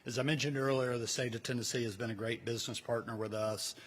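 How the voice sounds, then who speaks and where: A man hosting a press event, giving introductions from the podium in an informal, halting way, recorded live. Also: mid-range voice, steady tone, fairly bright tone. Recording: clear background noise, live event ambience.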